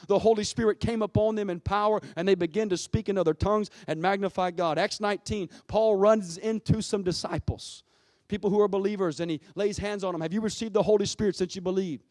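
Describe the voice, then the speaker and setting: High-pitched male preacher, emphatic. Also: darkish tone, no room sound, clean studio sound. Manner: emphatic, repeats phrases for effect; exhorting, punctuated with rhetorical questions. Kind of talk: impassioned Pentecostal sermon.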